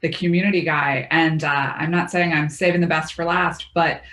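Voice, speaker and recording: A woman's voice: sits low, steady tone, normal speed, fairly bright tone, a little hiss or hum. A woman moderating an online roundtable, introducing panelists with friendly, self-deprecating asides.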